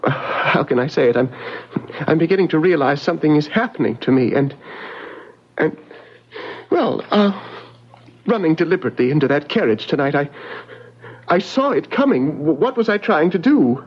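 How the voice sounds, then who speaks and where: A man playing a nervous, reclusive English gentleman, halting, formal and fretful, in old-fashioned radio mystery acting. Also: on the high side, animated, breathy edge. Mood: anguished. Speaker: radio drama actor. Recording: muffled vintage radio recording.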